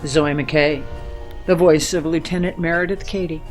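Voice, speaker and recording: A man's voice: a little high, fairly even intonation, normal speed, soft background music. A man announcing an audio drama's closing teaser and credits from a script.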